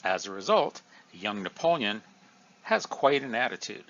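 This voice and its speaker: A man teaching a recorded history review lesson, explaining in a lively, emphatic way. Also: fairly low pitch, moderate pace, dull audio.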